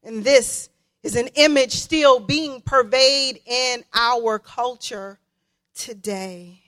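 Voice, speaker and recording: High-pitched, bright-voiced woman preacher, unhurried. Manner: emphatic delivery with deliberate repetition for weight. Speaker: guest preacher. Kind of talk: sermon.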